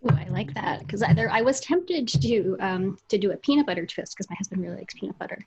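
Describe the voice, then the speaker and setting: A woman's voice: mid-range voice, lively intonation, quick pace, gravelly, darkish tone, husky, rough recording. A woman in her thirties chatting casually and animatedly about books on a video call from a small room at home. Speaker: podcast co-host, author. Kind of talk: casual book chat.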